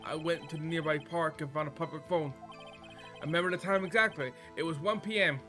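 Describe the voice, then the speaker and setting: A man voicing a shifty newspaper seller giving stiff, deliberate testimony in a visual novel game. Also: a little high, pushed and bright, soft background music. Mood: recounting.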